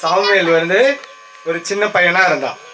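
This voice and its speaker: A man's voice: high-pitched, normal speed. A man telling a simple Bible story and leading prayer for children at a live program, in short phrases with questions. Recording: distant mic, noisy children's crowd.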